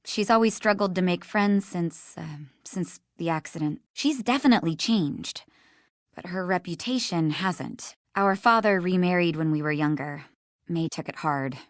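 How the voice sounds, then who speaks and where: A woman's voice: fairly low pitch, moderate pace, dry recording, studio quality, natural voice. A woman voicing a caring young woman in a video game, sincere and a little guarded. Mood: subdued, regretful. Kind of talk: scripted video game dialogue.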